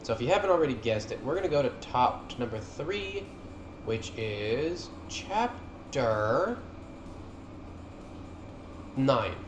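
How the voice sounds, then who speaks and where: A man's voice: medium pitch, big pitch swings, takes it slowly, pauses often, muffled recording. A man giving casual, enthusiastic fan commentary over game cutscenes in an informal way. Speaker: gaming video creator. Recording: roomy echo, music playing behind. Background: game cutscene music.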